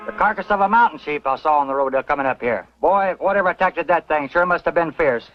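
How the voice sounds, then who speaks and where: A man's voice: fairly high pitch, quick pace, close to an everyday voice. An actor playing a folksy, awestruck man of the Old West, rustic and exclamatory, in a radio western. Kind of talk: old-time western radio drama acting. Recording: muffled radio recording, loud music and crowd.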